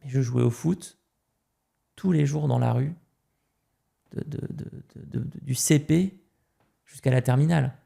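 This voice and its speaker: A man's voice: mid-range voice, unhurried, vocal fry, pauses often, dark tone, husky. A man from France, a podcast guest, talking emphatically in casual conversation and repeating words as he starts a point.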